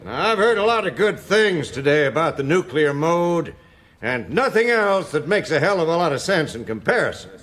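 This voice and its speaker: A high-voiced man as a committee chairman. He is formal and decisive, steering a meeting briskly in radio drama style. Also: big pitch swings, fairly bright tone. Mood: firm, brisk. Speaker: chairman of a space agency meeting.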